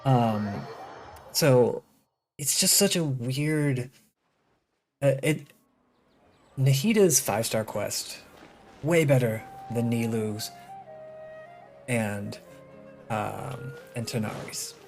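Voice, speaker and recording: A video game streamer giving casual live commentary, rambling and thinking out loud, with opinionated, sarcastic asides. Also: medium pitch, drawn-out words, creaky, pauses often. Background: game music.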